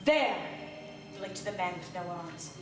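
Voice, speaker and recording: A woman reading a literary story aloud in a theatrical, deliberate way, as part of a staged performance. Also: fairly low pitch, animated. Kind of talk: dramatic literary storytelling, scripted narration. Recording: distant live theatre recording, echoey, music behind. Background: music and sound design.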